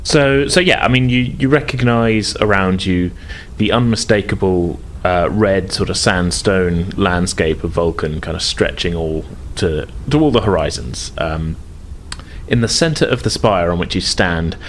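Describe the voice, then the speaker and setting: A man with a low voice acts as game master, describing scenes off the cuff. His phrasing is hesitant and conversational, and faint noise sits behind the recording. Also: measured pace. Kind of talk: improvised tabletop game narration.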